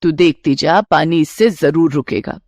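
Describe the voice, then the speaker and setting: Casting: resourceful older mother-in-law for a cartoon storybook, acted by a woman, low, reassuring, confident, dry close sound. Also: unhurried, no echo. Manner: calm, confident, affectionate.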